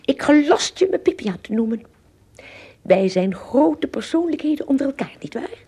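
A woman playing a pompous, excitable tiny king in a radio play, with a raspy, creaky voice and a grandiose manner. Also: sits high, normal speed. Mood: grand, admiring.

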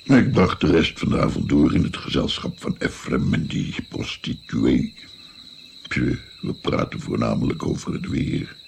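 An actor playing an old grandfather in a radio play, reflectively recounting his past travels in a gravelly voice. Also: extremely low pitch, sing-song, unhurried, a little hiss or hum, exaggerated voice. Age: older. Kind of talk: radio drama storytelling.

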